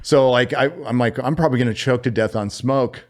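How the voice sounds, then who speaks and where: A middle-aged man from California telling a story as a podcast guest, animated and profane, laughing as he goes. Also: mid-range voice, brisk. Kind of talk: casual storytelling.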